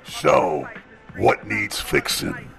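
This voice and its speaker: A man voicing a hulking, curt monster thug with a gravelly growl in a motion comic. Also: fairly low pitch, very animated, drawn-out words, music in the background, exaggerated voice. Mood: gruff.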